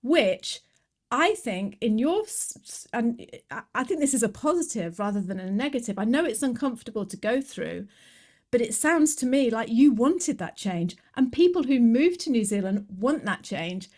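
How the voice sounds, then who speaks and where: A woman's voice: fairly high pitch, expressive, moderate pace, a little mellow. A woman hosting a conversational podcast interview, chatty and reflective, thinking aloud with frequent restarts. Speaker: podcast host.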